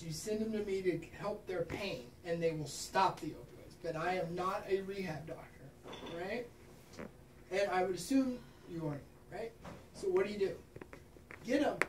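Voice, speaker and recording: A woman's voice: mid-range voice, darkish tone. A woman from Kansas, a pain management doctor, gives an informal talk to clinicians, tossing in "right?" after her points and laughing at herself. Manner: frequent tag questions, laughs at herself. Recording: roomy echo, distant mic, noticeable background noise.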